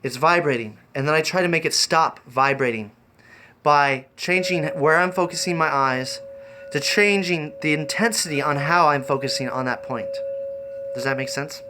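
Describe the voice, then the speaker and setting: A man's voice: on the high side, moderate pace, sharp tone, slight background noise. A man hosting a casual improvised livestream, rambling and easily distracted, often repeating his phrases as he goes. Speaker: live-streaming host.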